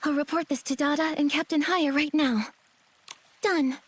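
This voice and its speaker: A woman as a high-voiced plucky young heroine. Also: steady tone, normal speed, lightly acted. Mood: alert, determined. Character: plucky indignant young female stylist adventurer. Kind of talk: game cutscene voice acting.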